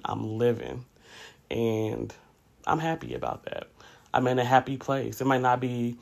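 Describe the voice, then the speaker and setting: A man's voice: medium pitch, creaky. A man in his late thirties hosting a podcast, talking candidly in a casual, reflective monologue with conversational asides. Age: late 30s. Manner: candid, conversational, often says "you know". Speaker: podcast host.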